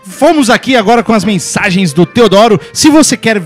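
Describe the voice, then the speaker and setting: A Brazilian man in his forties hosts a rowdy podcast in a high, lively voice with a Brazilian Portuguese accent. He steers the segments and jokes along with the others. Faint music plays behind him. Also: a little fast. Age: 40s. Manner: joking, animated, leads segments. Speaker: podcast host. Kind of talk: casual chat, reading news aloud.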